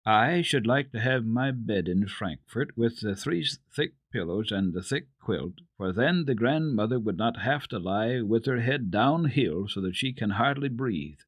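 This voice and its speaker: An eager, kindhearted little girl in a storybook, as a man reads her lines. The delivery is earnest and expressive, with varied pitch, on a dry recording. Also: a little slow, hardly any pauses, husky, no room sound. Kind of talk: dramatic reading of children's classic.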